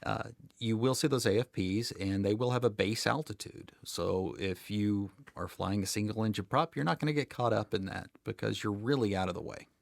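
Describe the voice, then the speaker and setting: A man's voice: fairly low pitch, normal speed, creaky, no echo. An American man speaking conversationally as an expert podcast guest, in an emphatic, plain-spoken way with dry humor. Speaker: guest air traffic controller.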